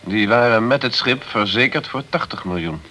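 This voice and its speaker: A man's voice: mid-range voice, animated, normal speed, pushed and bright, slight background noise. A man playing a businesslike English insurance investigator, terse, dry and inquisitive, in a radio play. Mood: probing, businesslike. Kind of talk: Dutch radio play acting.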